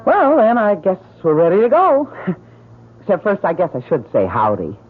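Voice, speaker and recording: A woman's voice: lowish voice, wildly swooping pitch, normal speed, husky, full character voice. An actress playing a shy, plainspoken young mountain woman with a rural American accent, speaking hesitantly and folksily in a radio drama. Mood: shy, friendly. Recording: noisy, narrow-band old radio recording.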